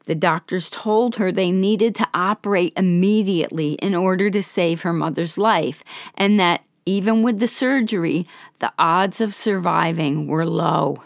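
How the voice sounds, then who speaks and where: A woman hosts a podcast and narrates a story calmly and gently, her voice a little hoarse. Her voice sits on the low side, and the recording is close and dry with no room sound. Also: unhurried, no room sound. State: slightly hoarse, under the weather. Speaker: podcast host.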